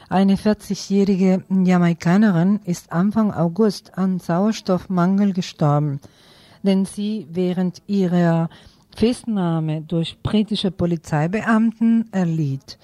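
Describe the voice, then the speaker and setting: A woman's voice: lowish voice, slow pace, mellow, no room sound. A woman reading news items as a radio presenter.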